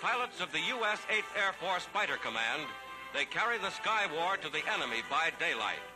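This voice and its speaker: A man's voice: fairly high pitch, sing-song, a little fast, poor audio. A man with an American accent reads scripted 1940s newsreel narration in a declamatory, dramatic announcer's manner on a vintage soundtrack. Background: loud music.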